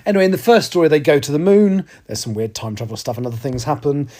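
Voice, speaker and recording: A man's voice: a little high, lively intonation, quick pace, mellow. A man hosting a casual solo podcast, talking in a rambling way with frequent ums and self-corrections. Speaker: podcast host.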